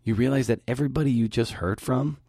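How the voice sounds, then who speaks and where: A man's voice: medium pitch, quick pace, gravelly, mellow, no room sound, studio quality. A man hosting a podcast, delivering a prepared talk. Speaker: podcast host giving a talk. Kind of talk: prepared talk with interview clips.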